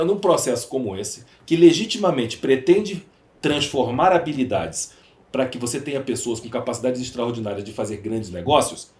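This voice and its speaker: A Brazilian man speaking as an interview guest, conversational and emphatic, repeating phrases for rhetorical effect. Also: a little high. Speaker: podcast guest, entrepreneur. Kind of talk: conversational interview, storytelling and opining. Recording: roomy, echoey sound.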